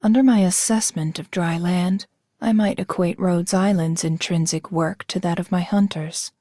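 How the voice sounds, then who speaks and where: A woman plays a detached huntress leader from a fantasy game. The voice is mellow and lowish, with measured, clinical formality. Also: steady tone, no echo, clean studio sound, close to an everyday voice. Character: cool, analytical huntress leader, female, detached. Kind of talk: scripted game voice acting.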